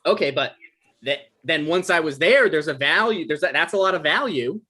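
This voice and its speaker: A man's voice: fairly high pitch, expressive, normal speed, bright, forceful tone, husky, full character voice. A man playing an evasive, defensive guy in a table read, stammering and hedging in an awkward conversational way. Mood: indignant. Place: online live stream table read.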